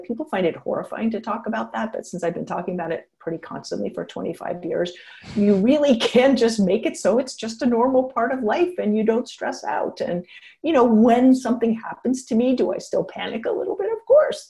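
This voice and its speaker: A woman's voice: a little high, a little fast, creaky, rough recording. A woman in her fifties, a geriatrician and author, answering interview questions frankly and with energy, laughing now and then as she talks. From United States. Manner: frank, animated, laughs while talking.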